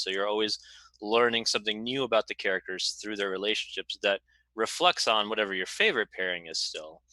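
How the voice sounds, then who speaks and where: A man who writes games, talking casually on a developer panel in an animated, emphatic way. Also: on the low side, no room sound, poor audio.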